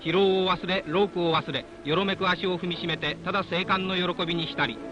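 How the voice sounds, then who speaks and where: High-pitched man, 1940s Japanese newsreel narrator. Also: fairly even intonation, normal speed, muffled recording. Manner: declamatory, solemn, dramatic delivery. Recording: old 1940s film soundtrack, loud music behind. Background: loud music.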